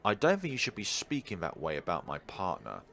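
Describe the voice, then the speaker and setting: A protective half-elf alchemist from a fantasy visual novel, acted out by a man. The voice is deep, blunt and cutting, quick to defend a partner. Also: huge pitch swings, gravelly, a bit bright, exaggerated voice. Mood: indignant, cutting.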